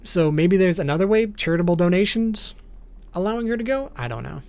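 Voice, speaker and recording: Higher-pitched man, lively explainer narration. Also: brisk, smooth. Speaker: video host. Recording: background music under voice. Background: music.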